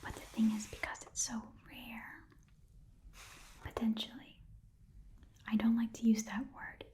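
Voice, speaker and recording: A woman voicing a shrewd, chatty goblin shopkeeper in a breathy whisper, rambling and muttering to herself as she haggles in an ASMR roleplay. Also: a little high, level tone, unhurried, mellow, natural voice. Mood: haggling. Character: shrewd, chatty goblin pawn shop keeper.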